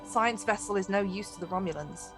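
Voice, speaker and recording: A woman playing an exhausted Vulcan woman captain in a sci-fi tabletop game, grave and guarded, speaking in measured, halting, clipped phrases. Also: medium pitch, over music, natural voice. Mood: grave, weary.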